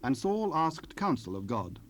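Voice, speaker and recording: Casting: male scripture narrator, mid-range, solemn and measured, old cassette noise with ambient effects behind. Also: mid-range voice, sing-song. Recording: noisy cassette rip, ambient sound.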